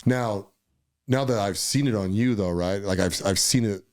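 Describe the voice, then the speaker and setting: A man hosting an interview podcast, quick to agree and leading the talk casually. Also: on the low side, darkish tone. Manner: brisk, lots of short agreements. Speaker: podcast host.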